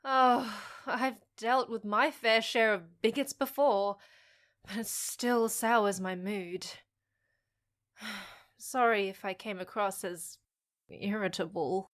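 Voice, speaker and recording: An actress playing a frank, wry half-human job seeker in a fiction podcast, candid and slightly awkward. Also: female voice, medium pitch, takes it slowly, a bit bright. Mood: weary.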